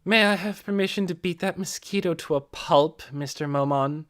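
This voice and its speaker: A scornful woman adventurer played by a man, haughty and cold, raised in pitch. She speaks with formal, severe disdain in a fantasy audiobook. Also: moderate pace, a bit bright, natural voice. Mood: contemptuous. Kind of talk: audiobook character voice.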